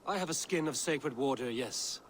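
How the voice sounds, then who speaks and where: A brooding god-king, voiced by a man, speaks in a stern and commanding way. His tone stays grave and suspicious. There is a steady noise under the game audio. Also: medium pitch, normal speed, natural voice. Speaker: game character.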